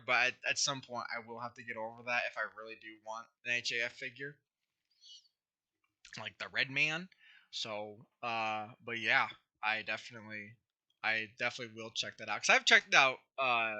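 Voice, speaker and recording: A young man with a hoarse, scratchy throat, chatting casually with viewers on his livestream, rambling with lots of fillers and restarts. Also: mid-range voice, sharp tone. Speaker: YouTube creator hosting a livestream.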